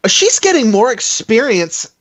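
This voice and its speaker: A podcast co-host in a casual, animated and argumentative fan discussion. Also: mid-range voice, unhurried, dry recording.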